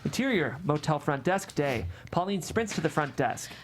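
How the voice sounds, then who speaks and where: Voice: mid-range voice, expressive, normal speed, a little hiss or hum. A narrator reading scripted screenplay stage directions aloud at a table read.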